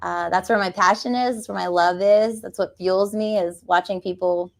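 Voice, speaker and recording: A woman talks casually as a guest, chatty and quick to laugh. Her pitch sits in the middle for a woman. She is a riding coach speaking at a motorcycle dealership. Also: fairly even intonation, gravelly. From West Texas. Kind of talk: casual interview chat.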